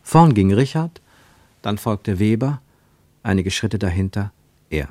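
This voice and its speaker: Male narrator, literary radio play, lively melodic delivery, deliberate phrasing, dramatic pauses, dry studio sound. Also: fairly low pitch, dry recording.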